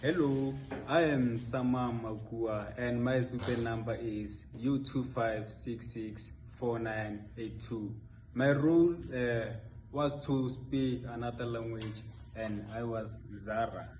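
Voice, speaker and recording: A man's voice: mid-range voice, takes it slowly. A young adult man introducing himself to an audience as a student puppeteer. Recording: clear background noise.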